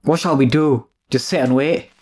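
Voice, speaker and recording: A man's voice: medium pitch, normal speed, a little mellow, close to an everyday voice. A man playing a nervous, deferential manservant in a radio drama, with a fretful, complaining way of talking. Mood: frightened. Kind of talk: classic radio drama acting.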